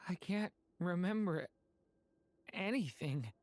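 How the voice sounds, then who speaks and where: A woman voicing a frightened, frail young boy in a video game, speaking haltingly. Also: on the low side, expressive, darkish tone, no room sound. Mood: weak, lost. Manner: slow, halting, breaking phrases apart. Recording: background music.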